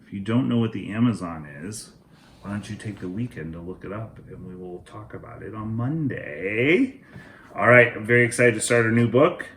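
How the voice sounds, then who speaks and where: A man hosting a children's read-aloud, narrating a storybook in a deliberate, expressive way. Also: lowish voice, echoey room, slight background noise.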